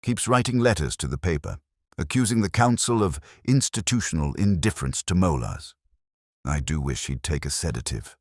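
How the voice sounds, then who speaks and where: Man as fussy, weary council official in mystery fiction: low dry mutter, lively inflection. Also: moderate pace, dry recording. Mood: exasperated.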